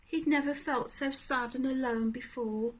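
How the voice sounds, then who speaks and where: A woman reading a children's story aloud in an animated, deliberate manner, addressing young listeners. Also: sits high. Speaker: storybook reader.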